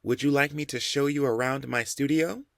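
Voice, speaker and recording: A man doing a gentle woman artist with a slight accent. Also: medium pitch, steady tone, vocal fry, no room sound, studio quality, voice pushed up. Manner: slow, soft, gracious.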